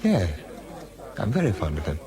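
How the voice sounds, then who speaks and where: An actor playing a weary, wry wartime weapons researcher with a British accent, narrating and talking in a dry, understated way. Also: male voice, on the low side, wildly swooping pitch, normal speed, crowd noise. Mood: dry, guarded. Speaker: lead actor and first-person narrator. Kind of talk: radio drama narration and dialogue.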